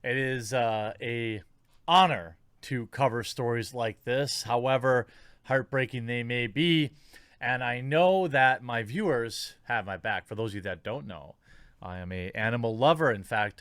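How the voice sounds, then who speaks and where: A man's voice: mid-range voice, a little slow, fairly bright tone, clear, resonant tone, dry recording. Man commentating, dry close sound. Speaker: online commentator.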